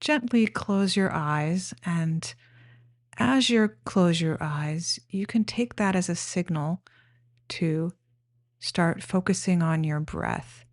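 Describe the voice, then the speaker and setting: A woman leading a guided meditation, giving gentle, plain-spoken instructions in an unhurried way with pauses. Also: fairly low pitch, no room sound, studio quality.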